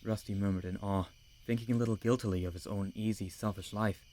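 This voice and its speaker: Male narrator for an animal adventure audiobook, fairly low pitch, scripted storytelling read. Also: steady tone, fast talker, mellow, a little hiss or hum.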